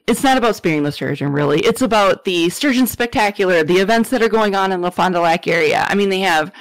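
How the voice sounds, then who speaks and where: A woman speaks in a casual, conversational way as an interview guest. She explains things eagerly in an average-pitched voice. The sound is dry, with very little room in it. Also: dry recording, rough recording. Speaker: podcast guest.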